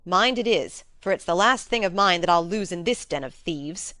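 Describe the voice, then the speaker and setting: A woman voicing a blunt, plain-spoken young gentleman in a storybook, with an informal manner. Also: fairly low pitch, lively intonation, moderate pace, no echo. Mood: indignant.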